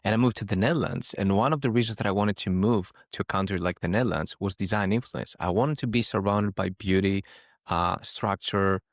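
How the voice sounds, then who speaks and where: A man's voice: lowish voice, a little fast, dry recording, clean studio sound. A man from Greece hosting a podcast interview, thoughtful and often restarting his sentences. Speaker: podcast host. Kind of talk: casual interview chat.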